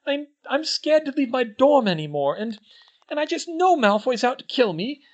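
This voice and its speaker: A man reading as an anxious, bookish teenage schoolgirl witch from a storybook, pitched well above his own voice. She speaks high and earnest, her pitch swinging widely, and the recording sounds dull and lo-fi. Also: very animated, normal speed, a little mellow. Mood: frightened, pleading. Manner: high, earnest, tearful pleading.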